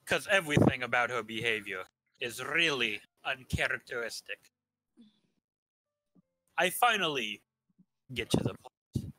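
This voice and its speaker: A man's voice: mid-range voice, lively intonation, a little slow, long pauses, bright, forceful tone, soft background music, poor audio. A man narrating a visual novel in a staged reading, with casual asides.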